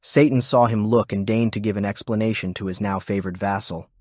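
Guy reading a dramatic audiobook, lowish voice, really dry sound no room. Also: steady tone, a little fast, a little mellow, dry recording. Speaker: audiobook narrator. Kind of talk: scripted dramatic narration.

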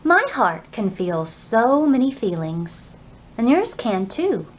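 Woman doing kids storytime, slow n expressive, bit of background noise in the room. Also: fairly high pitch, reverberant. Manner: expressive, exaggerated clear phrasing for small children. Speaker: children's storytime librarian. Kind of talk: children's storytime reading and presenting. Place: indoor library room.

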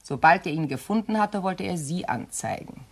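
An actress playing a composed, shrewd widow in a German crime radio play, speaking in a measured, courteous and pointed way. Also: female voice, lowish voice, animated, slightly rough. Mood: cool, veiled threat.

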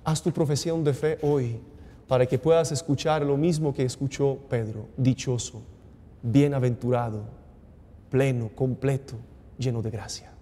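A man in his forties, a priest speaking solemnly and with measured exhortation at Mass. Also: mid-range voice, steady tone, mellow. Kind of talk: homily and liturgical prayer. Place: small indoor TV studio chapel. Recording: long reverberant hall echo.

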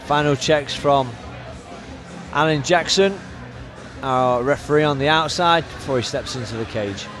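A man's voice: on the high side, a little slow, music in the background. A man giving live commentary at a fight event. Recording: crowd heard behind. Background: event crowd.

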